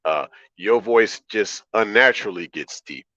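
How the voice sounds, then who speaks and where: A man with a low baritone hosts a livestream in a calm, relaxed way. He talks conversationally to his chat and repeats phrases to drive them home. The sound is slightly muffled and lo-fi. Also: measured pace. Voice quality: deep baritone. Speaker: livestream host. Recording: roomy, slightly dull lo-fi audio.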